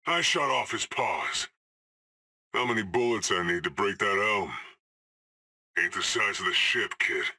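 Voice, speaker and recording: A man's voice: low voice, pushed and bright, husky, heavily acted. An actor playing a cocky, cool male hired assassin in a video game, trading dry, sardonic one-liners.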